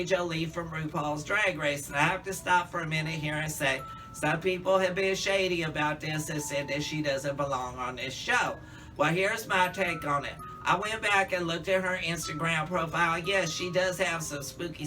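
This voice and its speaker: A man's voice: fairly high pitch, bright, forceful tone, recorded from a distance, poor audio. A man in a casual, campy monologue, chatting to viewers as a drag queen reviewer.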